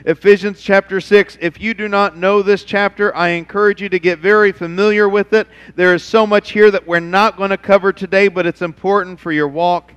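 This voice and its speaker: Middle-aged pastor preaching from the stage, smooth and resonant, long church reverb. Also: male voice, sits high, fairly quick, few pauses, lo-fi sound. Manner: animated preaching, acts out God's voice in quotes. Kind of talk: sermon.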